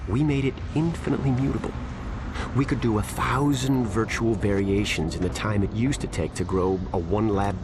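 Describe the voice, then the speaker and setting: An actor playing a cold-blooded scientist villain who calmly lectures in a matter-of-fact way. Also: male voice, on the low side, background noise, natural voice. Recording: game cutscene with loud score.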